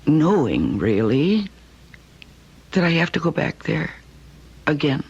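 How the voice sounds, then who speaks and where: A woman's voice: deep voice, big pitch swings, slow pace, gravelly, a little mellow, husky, full character voice. An actress playing an earnest, hesitant young married woman in an old-time radio drama. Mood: troubled, dreamy. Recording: muffled old radio recording.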